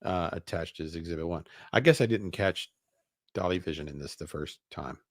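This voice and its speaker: A man with a low voice hosts a talk show, reading statements aloud and breaking into casual commentary. His asides come out hesitant, and the sound is dry and close. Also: moderate pace, no room sound. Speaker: show host.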